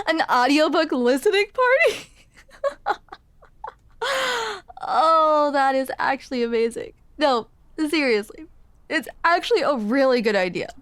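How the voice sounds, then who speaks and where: A woman's voice: sits high, a bit bright, dry recording, full character voice. A woman playing a grumpy but smitten barista in an intimate ASMR roleplay, hesitant, teasing and self-deprecating, close to the microphone. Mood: amused, impressed. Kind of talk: ASMR roleplay, intimate one-sided conversation. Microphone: close microphone, dry.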